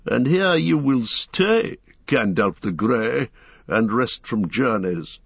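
A man voicing a proud, scornful old male wizard who speaks in a slow, sneering way. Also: medium pitch, expressive, vocal fry, breathy edge, no echo, highs cut off, full character voice.

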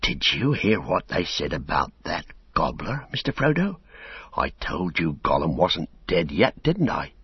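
A man voicing a loyal, plain-spoken male hobbit servant in a storybook, earnest, homely and indignant, keeping his voice hushed. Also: sits low, expressive, normal speed, breathy edge, dry recording, dull audio. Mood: wry, hushed.

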